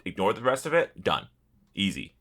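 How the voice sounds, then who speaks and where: A man with a bright, forceful voice that swings widely in pitch. He talks like a podcast co-host in casual gaming chat, telling stories bluntly and cursing along the way. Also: normal speed. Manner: profane, blunt, animated storytelling.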